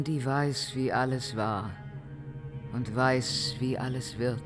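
Eine Schauspielerin spielt in einem Fantasy-Hörspiel eine ernste, allwissende Erdgöttin, feierlich und orakelhaft. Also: female voice, a bass voice, full character voice. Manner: solemn, oracular. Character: grave, all-knowing earth goddess prophesying doom. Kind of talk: fantasy radio play acting. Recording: music behind the voice.

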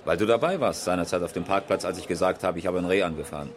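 A man playing a chatty rally driver in a radio crime drama, talking casually and a little haltingly to a friend. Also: sits low, natural voice. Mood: uneasy, chatty.

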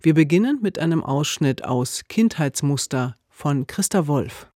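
A man giving a scripted introduction as a radio presenter, measured, factual and clearly articulated. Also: on the high side, animated, a little mellow, no room sound, clean studio sound.

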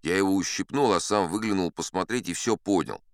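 A man's voice: low-pitched, a little fast, no echo. A man narrating an audiobook in the first person, chatty and wry, as if talking to listeners. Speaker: audiobook reader. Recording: dry close studio.

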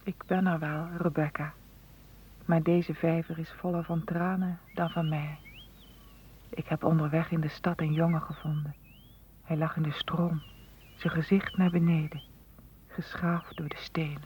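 An actor in a radio play voicing a sorrowful talking pond, solemn and measured as it tells grave news. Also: male voice, on the high side, little pitch movement, a little mellow, natural voice. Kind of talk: radio drama acting. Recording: noisy old radio play recording.

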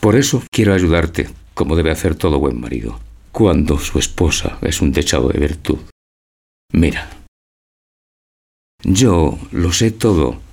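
A man acting in a radio play as a doting, cultured old husband, speaking in a courtly, measured, solicitous and theatrical way. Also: rumbling low, animated, gravelly, darkish tone, full character voice. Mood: tender, resigned.

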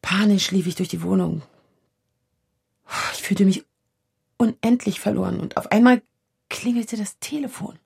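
A woman acting a vulnerable, searching woman in her thirties in a naturalistic radio drama, with a husky, breathy voice. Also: mid-range voice, unhurried, long pauses, darkish tone, exaggerated voice. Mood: haunted, remembering. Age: 30s. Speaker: lead actor.

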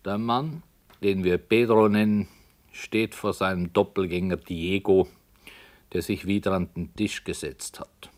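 A grave author narrating a dark story for a radio play. His voice is on the low side and he takes his time over every phrase. The delivery is solemn and measured throughout. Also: steady tone. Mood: ominous, intense.

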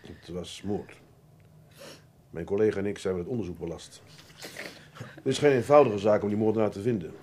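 Radio play detective, male, played by an actor: lowish voice, grave and measured, blunt yet decent and courteous. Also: dark tone, a little hiss or hum, natural voice. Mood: grave, firm. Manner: measured, polite, hesitant before bad news. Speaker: actor in a crime radio drama. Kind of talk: Dutch radio play acting.

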